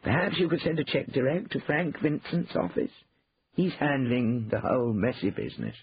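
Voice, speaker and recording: Man voicing a sly, rueful older gentleman, pitch swooping. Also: very animated, moderate pace, vocal fry, highs cut off, slight background noise. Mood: politely sly. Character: shrewd older man, feigning regret, slyly composed.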